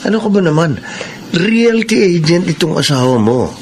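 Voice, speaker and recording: An actor playing an evasive, secretly smitten husband with a Filipino accent in a radio drama. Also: male voice, on the high side, big pitch swings, moderate pace. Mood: evasive, reassuring. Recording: clear background ambience noise.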